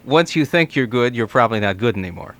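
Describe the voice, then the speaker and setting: A man hosting a call-in radio interview in a polite, measured, conversational way. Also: medium pitch, animated, a bit bright.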